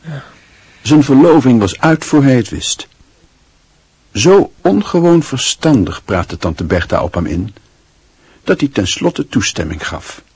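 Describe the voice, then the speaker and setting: An actor narrating a literary radio drama in a measured, reflective, scripted style. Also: male voice, medium pitch, sing-song, darkish tone, slightly rough, no room sound, heavily acted. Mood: wry, reflective.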